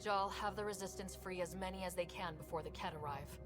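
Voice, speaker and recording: A woman's voice: on the low side, a little fast, over music, close to an everyday voice. An actress playing a determined young woman leading a space expedition in a video game, direct and commanding. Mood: decisive. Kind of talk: video game cutscene acting.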